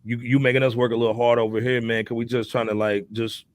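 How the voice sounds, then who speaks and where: A man's voice: medium pitch, little pitch movement, fast talker, no echo. A man co-hosting a hip hop podcast live, talking in a loose, laid-back way and ending almost every phrase with "man". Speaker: podcast co-host. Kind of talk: casual hip hop chat on a live stream.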